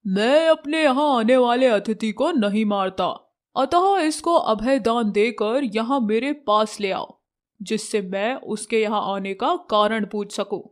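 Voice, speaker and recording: Regal storybook lion, played by a woman: honourable, stately, unhurried, commanding. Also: fairly high pitch. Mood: noble, firm.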